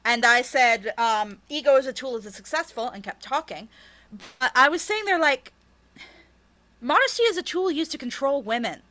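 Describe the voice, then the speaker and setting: A woman's voice: sits high, lively intonation, normal speed, bright, forceful tone. A woman in a candid, casual interview, talking in a blunt, emphatic and often sweary way. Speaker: interviewed writer and podcast creator.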